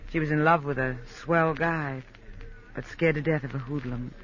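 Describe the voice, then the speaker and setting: An actress playing a cool, guarded nightclub singer on the run in a 1950s radio drama, evasive and clipped. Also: female voice, low-pitched, fairly even intonation, moderate pace, lightly acted. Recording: dull vintage radio recording.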